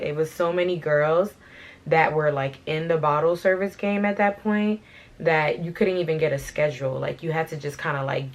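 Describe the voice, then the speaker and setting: A young woman talks in a casual, slangy story-time ramble, full of "like" and "you know what I'm saying." Her voice sits a little low and carries a light rasp from being sick. She chats while doing her hair. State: slightly raspy from being sick. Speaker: fashion channel host.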